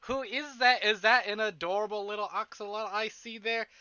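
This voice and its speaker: A man with a very bright, forceful voice, pitched a little high. He hosts a livestream in a chatty, animated way, often interrupting himself and swearing playfully. The audio is slightly dull and lo-fi. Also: moderate pace, smooth. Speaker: streamer, host of a visual novel stream. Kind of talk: casual livestream chat to viewers. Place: indoors at a desk.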